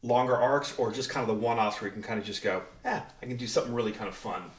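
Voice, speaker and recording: A man's voice: lowish voice, quick pace. Male interviewer, echoey and distant. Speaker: interviewer, show host. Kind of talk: casual conversational interviewing. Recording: echoey room, distant from mic.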